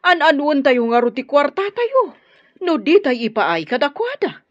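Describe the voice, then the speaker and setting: A woman's voice: sits high, big pitch swings, moderate pace, no room sound, muffled recording. A woman playing a frank, matronly, well-off mother of a grown son in an Ilocano radio drama, with an Ilocano accent. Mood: businesslike, probing.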